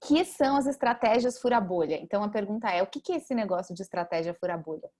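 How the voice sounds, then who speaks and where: A woman's voice: mid-range voice, animated, fast talker, darkish tone. Woman moderating a webinar. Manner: explanatory, guiding the discussion.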